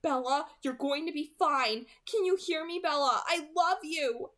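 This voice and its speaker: A woman voicing an anguished young man who pleads in a high, breaking, drawn-out voice, in a story read aloud. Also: a bit bright. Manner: pleading, drawn-out emotional cries.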